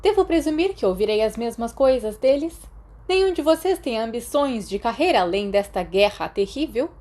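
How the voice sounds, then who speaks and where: A woman's voice: fairly high pitch, lively intonation, normal speed, dark tone, pitched above the performer's own voice. A Brazilian woman voicing a stern, weary older female schoolteacher in an audiobook, formal and pointed. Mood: stern, disappointed. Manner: formal, exasperated, pointed questioning.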